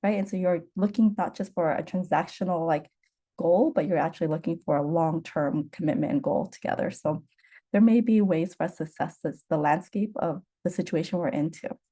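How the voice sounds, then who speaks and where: Mellow low-voiced woman on a call. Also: brisk. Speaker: fellow in a group discussion. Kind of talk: casual group discussion. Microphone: video call.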